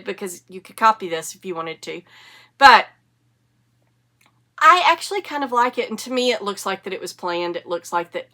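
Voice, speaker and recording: A woman's voice: medium pitch, pushed and bright. A woman, sniffly from a cold, hosting a casual knitting podcast in a chatty, enthusiastic, rambling way. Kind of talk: casual show-and-tell chat.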